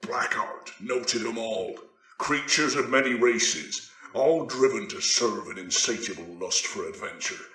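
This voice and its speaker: A man reading dramatic, scripted fantasy narration with solemn, deliberate phrasing. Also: lowish voice, pushed and bright, reverberant, rough recording. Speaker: voice actor and narrator.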